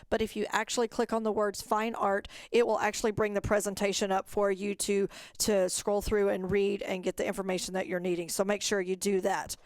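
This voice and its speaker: A woman's voice: mid-range voice, smooth, dry recording. A woman giving an informational webinar presentation, explaining step by step in an instructional way. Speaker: program staff presenter.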